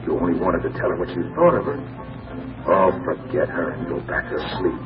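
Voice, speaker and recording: A man's voice: low-pitched, sing-song, fairly quick. A man acting as a man's brooding, insistent inner voice in 1940s radio drama style. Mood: nagging, consoling. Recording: distant dull radio sound, loud music behind.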